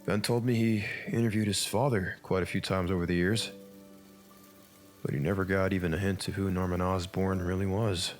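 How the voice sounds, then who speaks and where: Reserved male family man, played by an actor, low-pitched, understated and pensive, music and rain behind. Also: level tone, normal speed, natural voice. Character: reserved father and husband, pensive. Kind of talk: comic dub acting.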